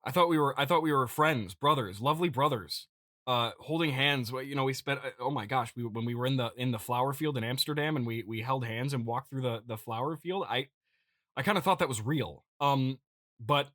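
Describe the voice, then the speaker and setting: A man hosting a comedy podcast, improvising a halting, mock-earnest monologue in which he stammers and repeats his words. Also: medium pitch, fairly bright tone, dry recording, clean studio sound. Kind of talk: improvised comedic monologue reading listener feedback.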